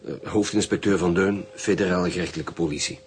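An actor playing a businesslike chief inspector, probing and firm, with a Flemish accent in a radio drama. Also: male voice, low-pitched, fairly even intonation, quick pace, background noise, lightly acted. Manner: formal, probing questioning. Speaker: actor in a radio crime serial.

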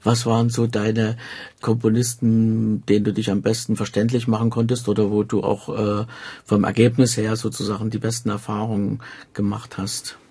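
A man conducting an interview, phrasing his questions in a deliberate, formal and roundabout way. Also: lowish voice, little pitch movement, warm tone, husky.

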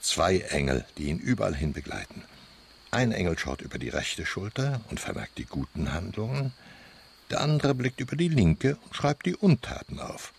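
An actor in a radio play playing an earthy older village man, gravelly, teasing and mock-solemn in his expansive storytelling. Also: lowish voice, exaggerated voice. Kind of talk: German radio play acting.

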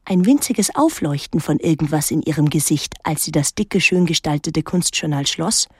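An actress playing a reflective daughter who narrates a literary radio drama in a measured, introspective way. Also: female voice, deep voice, expressive, a little mellow, breathy edge, no echo, studio quality. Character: reflective daughter recalling her reclusive painter mother.

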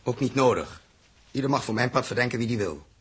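An actor playing a firm, reasoning spaceship crew leader in a 1960s Dutch radio drama, speaking with deliberate authority. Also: male voice, medium pitch, animated, a bit bright, husky.

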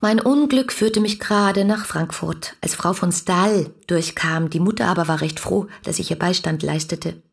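A woman's voice: lowish voice, normal speed, gravelly, hardly any pauses, husky. An actress playing a fiery, headstrong young woman reading out her adoring letters with impassioned expression in a German radio play. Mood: wistful, confiding. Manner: impassioned, expressive literary delivery.

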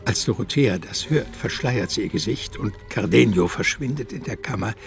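A man's voice: on the low side, expressive, moderate pace, vocal fry, music in the background. A man narrating a radio drama vividly from a script.

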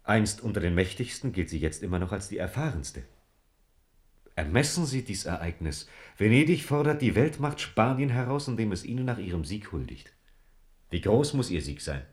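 An actor playing a loyal, sober royal counsellor in a radio play, speaking formal, deferential standard German. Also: male voice, lowish voice, very animated, normal speed, darkish tone. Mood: composed, explanatory.

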